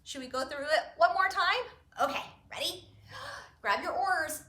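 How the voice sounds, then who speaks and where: A woman hosting story time for young children, talking and reading aloud in an animated way, addressing them as friends. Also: high-pitched, measured pace. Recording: roomy echo, faint music.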